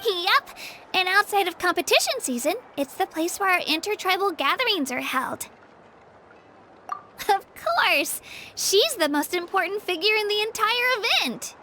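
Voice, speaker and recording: A woman's voice: very high-pitched, big pitch swings, measured pace, pushed and bright, a little hiss or hum, full character voice. A woman voicing an earnest, polite young warrior girl in a video game, eager and a little hesitant. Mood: bright, eager. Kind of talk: English video game voice acting. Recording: clean voice over game music.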